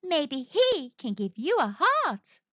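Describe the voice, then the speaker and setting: A woman plays a small, earnest storybook girl with a high, bright voice. The delivery is wide-eyed and pleading, with big lifts in pitch. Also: huge pitch swings, slow, no room sound, highs cut off, full character voice. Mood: encouraging.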